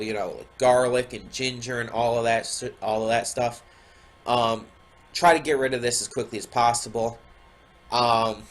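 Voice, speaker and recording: Guy w a stuffy nose rambling to his viewers from home, lots of ums, middle pitched voice. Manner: rambling, many ums and restarts. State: stuffy nose, slight sore throat. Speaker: reaction video host. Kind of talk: casual chat to camera. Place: home room with a window.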